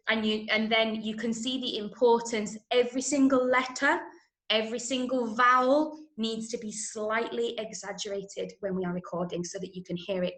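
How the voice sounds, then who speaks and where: A woman with an English accent teaching an online drama workshop, enunciating clearly and coaching with encouragement. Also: on the high side, a bit bright. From Manchester, England. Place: indoor room, online video call. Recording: roomy, echoing video-call sound.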